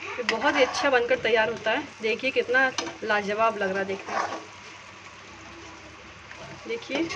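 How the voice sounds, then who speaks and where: A woman hosting a home recipe tutorial, explaining each step to viewers. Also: on the high side. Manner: instructional, step by step, addressing viewers. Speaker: home cook and channel host. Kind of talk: recipe tutorial narration over hands-on cooking. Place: home kitchen, indoors. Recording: very noisy homemade phone recording, household hum.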